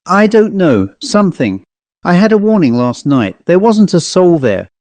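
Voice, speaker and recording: A man's voice: high voice, very animated, normal speed, darkish tone, no room sound, voice pushed up. An anxious, insistent woman from a storybook, acted out by a man reader. The voice is lifted well above the reader's own and presses each question with urgent emphasis. The recording is dry and close. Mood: uneasy, determined. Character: anxious, insistent woman searching for her missing niece. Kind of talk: dramatised storybook reading.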